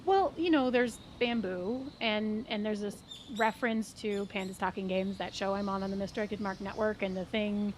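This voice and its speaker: A woman playing a frazzled, enthusiastic gnome coach with a bubbly, rambling, halting delivery. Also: medium pitch, a little mellow, slight background noise, lightly acted. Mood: flustered, rambling. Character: frazzled, enthusiastic female gnome training magical girls.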